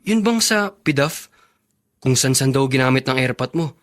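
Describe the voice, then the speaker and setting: A curious Filipino man played by an actor with a middle-pitched voice. He plays the gossipy friend in radio drama style. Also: animated, moderate pace, a bit bright, natural voice. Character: curious, gossipy male acquaintance of politician's son.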